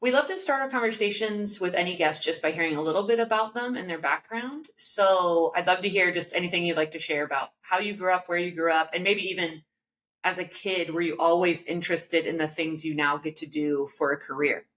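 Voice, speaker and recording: Low-voiced woman hosting a podcast. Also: brisk, fairly bright tone. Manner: friendly, earnest questioning. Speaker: podcast host. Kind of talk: interview hosting. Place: home room, indoors. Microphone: video call.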